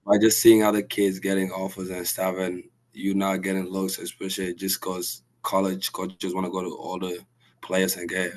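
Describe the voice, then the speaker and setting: Deep, flat-voiced young man from Sudan. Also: poor audio. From South Sudan. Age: young adult. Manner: soft-spoken, halting, with many restarts and fillers. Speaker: college basketball player, guest. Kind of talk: casual interview answers.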